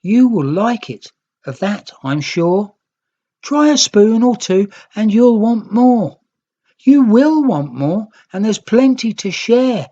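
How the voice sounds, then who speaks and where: Woman voicing a high, cheerful young storybook creature. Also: sing-song, slow pace, dark tone, muffled recording, heavily acted. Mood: cheerful, coaxing. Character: cheerful, kindly young green nix creature, eager to share. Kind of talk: children's storybook character voice.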